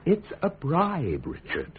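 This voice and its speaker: A man's voice: on the high side, wildly swooping pitch, slight background noise, exaggerated voice. Urbane statesman with swooping intonation. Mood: earnest, coaxing. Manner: measured, wry, coaxing. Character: urbane, gently teasing, principled gentleman statesman. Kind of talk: British radio drama acting. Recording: tinny band-limited radio recording.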